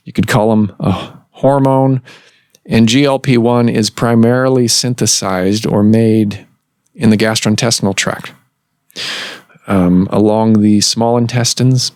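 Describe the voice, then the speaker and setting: A man hosting a medical podcast as a doctor, explaining in a measured way with some fillers, recorded in a clinic office. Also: fairly low pitch, darkish tone, dry recording, studio quality.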